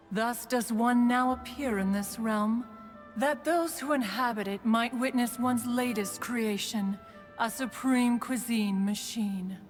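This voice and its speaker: A woman plays an imperious, immortal female bird sage from a fantasy game. The voice is fairly high and theatrical, with pompous, old-fashioned formality. It rings with long hall reverb over background music. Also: close to an everyday voice. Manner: pompous, archaic formal speech, refers to self as "one". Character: haughty, ancient female bird adeptus. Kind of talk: theatrical video game character acting.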